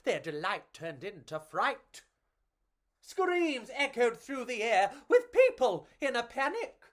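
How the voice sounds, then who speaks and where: A woman reading to children as a storyteller, with a theatrical, playful and measured delivery. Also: a little high, very animated.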